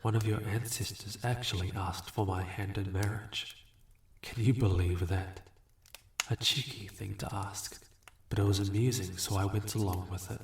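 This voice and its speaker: A man playing a suave, amused demon in a fantasy audio roleplay, condescending and teasing with unhurried courtesy. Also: fairly low pitch, steady tone, slight background noise, lightly acted. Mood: tempting, amused. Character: suave, amused, bargaining male demon, ageless and smug. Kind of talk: scripted fantasy audio roleplay addressed to listener. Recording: roomy echo, distant from mic.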